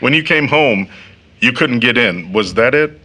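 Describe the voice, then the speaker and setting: An actor playing a kindly, concerned gentleman, a family friend, in an audio drama. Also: mid-range voice, lively intonation, a little slow.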